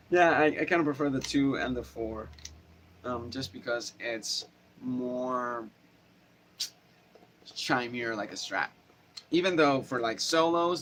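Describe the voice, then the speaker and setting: A man's voice: medium pitch, a bit bright, low-quality recording. A man with a nasal voice chatting casually with viewers from a hot home studio, rambling with lots of fillers and restarts. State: sweaty in a hot room. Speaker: guitar teacher answering viewer questions. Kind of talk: casual livestream chat. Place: small home studio room, no air conditioning, fan running. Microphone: camera microphone. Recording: faint background noise from a fan.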